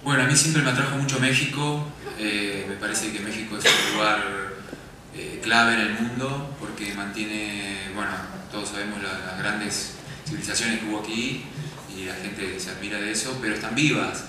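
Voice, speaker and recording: A man's voice: fairly low pitch, steady tone, moderate pace. Man echoing in a big hall. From Argentina. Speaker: documentary filmmaker on a panel. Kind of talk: panel discussion after a screening. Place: festival screening hall. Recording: very echoey hall, distant mic, background noise.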